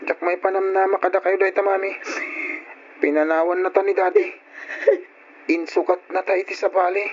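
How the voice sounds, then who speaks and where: High-pitched, plaintive young son played by a man. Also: little pitch movement, normal speed, pauses often, close to an everyday voice. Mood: hurt, resentful. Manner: plaintive, insistent. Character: hurt, indignant young son loyal to his mother. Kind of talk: old radio drama acting. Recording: muffled old radio recording with noise.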